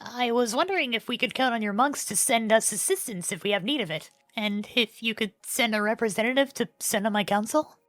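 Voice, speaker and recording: A young queen in a cartoon fan dub, played by a woman, with a fairly high voice in a dry recording. She is earnest and polite, dutiful yet slightly hesitant. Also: a little fast, hardly any pauses, a bit bright, no echo, close to an everyday voice. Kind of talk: animated fan dub acting.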